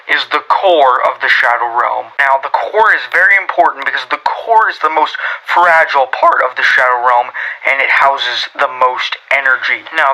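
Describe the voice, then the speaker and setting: A man's voice: mid-range voice, pushed and bright, low-quality recording. A man playing a casual scientist who trains a new worker, explaining things in a conversational lecture with hesitant asides. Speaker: workplace trainer.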